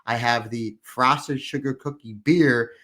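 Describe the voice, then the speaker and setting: A man in his thirties or forties co-hosting a podcast, a little hoarse, telling long upbeat stories in casual chat. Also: mid-range voice, fairly even intonation, takes it slowly, fairly bright tone. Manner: rambling, upbeat storytelling with frequent restarts. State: slightly hoarse. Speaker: podcast co-host.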